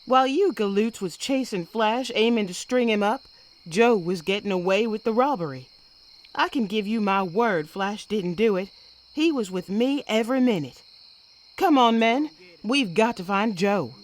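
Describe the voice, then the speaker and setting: An actress playing a sharp, defiant Old West woman sheriff with folksy speech, in a western audio drama. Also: medium pitch, expressive, normal speed, lightly acted. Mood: commanding. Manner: folksy frontier talk, assertive. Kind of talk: western comic-book audio drama acting.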